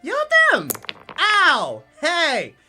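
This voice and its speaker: Woman playing a brash, bright-voiced young hothead. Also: high voice, huge pitch swings, extremely slow, soft background music, heavily acted. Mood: indignant. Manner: snappy, combative, slangy delivery. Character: brash, sarcastic, hot-headed young woman juggler. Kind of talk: radio drama acting.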